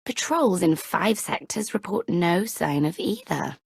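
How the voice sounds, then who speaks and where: A villain's cool woman officer in a video game. Her voice is very low and husky, sly and restrained in delivery. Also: animated, a little slow, darkish tone, no room sound, studio quality, heavily acted. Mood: cool, knowing. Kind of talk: video game cutscene acting.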